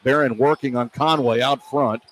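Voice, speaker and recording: An American man doing excitable, partisan live radio play-by-play of a basketball game as the announcer. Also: medium pitch, moderate pace. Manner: excitable, partisan toward the home team. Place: courtside in a basketball arena. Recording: noisy live arena radio broadcast. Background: crowd and arena noise.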